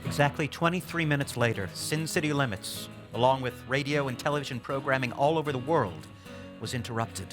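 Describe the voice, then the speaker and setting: An actor voices a male public radio host with a mid-pitched, solemn delivery. He narrates a documentary with measured, dramatic pauses over music. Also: lightly acted. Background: music under the voice.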